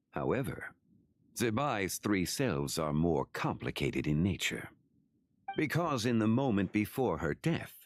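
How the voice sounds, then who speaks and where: A man playing an ancient, composed sage in a video game, speaking formally and with measured gravity. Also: low voice, full character voice.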